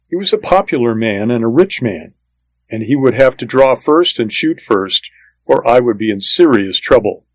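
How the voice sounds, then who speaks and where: A man's voice: medium pitch, no room sound, rough recording. A man reading a first-person western story as scripted audiobook narration, in a plain, matter-of-fact manner.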